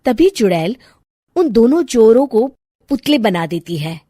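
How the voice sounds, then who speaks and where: A woman's voice: a little high, big pitch swings, a little slow, mellow, no echo, clean studio sound. A woman giving scripted narration for a cartoon story as the storyteller.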